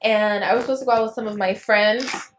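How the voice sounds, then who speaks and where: A woman's voice: medium pitch, steady tone, brisk, a little hiss or hum. Woman chatting candidly in an echoey room. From Liberia. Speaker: vlogger hosting a YouTube channel. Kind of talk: casual confessional chat to camera.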